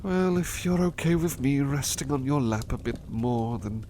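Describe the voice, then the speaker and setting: A man's voice: medium pitch, expressive, mellow, slight background noise, lightly acted. A man playing an overworked, affectionate butler in an intimate ASMR roleplay, speaking politely and hesitantly. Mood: sleepy, tender. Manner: hesitant, halting, polite old-fashioned phrasing, affectionate. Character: overworked, devoted, weary butler resting affectionately on partner's lap.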